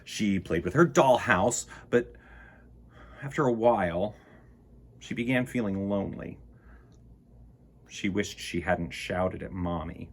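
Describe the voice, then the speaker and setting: A man's voice: on the low side, slow, pauses often. A man hosting a children's storytime, reading and chatting to young listeners in a playful, whimsical, rambling way. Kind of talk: picture-book reading and playful chat to children.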